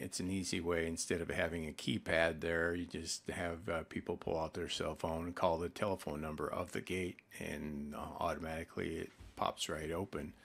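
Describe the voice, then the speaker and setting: A man presents a product informally. He explains things conversationally, often pausing partway through a sentence as he goes. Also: deep voice, a bit bright. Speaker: product presenter for an online retailer. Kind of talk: informal product demonstration narration.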